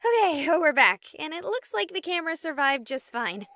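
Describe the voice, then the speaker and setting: A woman playing an excitable, chatty young streamer exploring a haunted place in a visual novel, with an expressive, playful delivery. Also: high voice, moderate pace, no room sound, exaggerated voice. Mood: excited, chatty.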